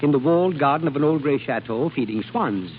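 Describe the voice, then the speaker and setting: An actor playing an exacting, unsentimental guardian with a clipped, lecturing manner in an old radio drama. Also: male voice, a little high, expressive, fairly quick, close to an everyday voice. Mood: stern, scolding. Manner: clipped, stern, dryly lecturing. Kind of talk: 1930s-40s radio drama acting. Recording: tinny phone-like, hissy old radio broadcast.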